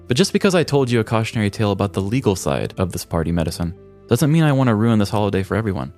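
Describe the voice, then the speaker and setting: Low-voiced American man, wry podcast host. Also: very animated, very fast, a little mellow, soft background music. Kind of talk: scripted story narration and casual podcast chat.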